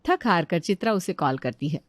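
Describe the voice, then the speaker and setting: A woman's voice: on the low side, expressive, normal speed, no echo, clean studio sound. A woman delivering scripted storytelling narration as the narrator of a family tale.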